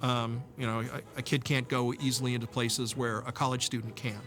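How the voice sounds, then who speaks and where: A man speaking in a measured, articulate way as a series writer-producer reflecting in a documentary interview. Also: medium pitch, flat delivery, music in the background.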